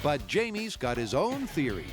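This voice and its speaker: Lively guy narrating a science show, wry punny voice-over, music under it. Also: on the high side, moderate pace. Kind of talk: scripted documentary narration.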